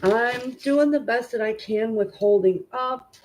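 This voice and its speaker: A woman with a stuffy, congested nose hosting a tarot reading live stream at home, chatting informally with viewers and filling pauses with "um". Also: on the high side, darkish tone, slight background noise, low-quality recording. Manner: informal and chatty, many "um" fillers, greets viewers. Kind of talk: casual live-stream chat and card reading.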